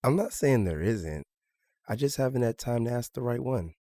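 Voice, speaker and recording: An actor playing a polite, earnest teenage high school boy in an audio drama, slightly embarrassed and brief in his replies. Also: animated, moderate pace, dark tone, dry recording, natural voice. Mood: bashful, earnest.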